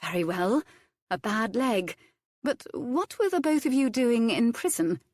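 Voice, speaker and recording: A woman voicing a proud, sharp-tongued young noblewoman with crisp, dry retorts in an audiobook. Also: mid-range voice, lively intonation, normal speed, no echo, pitched above the performer's own voice, close to an everyday voice. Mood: curious.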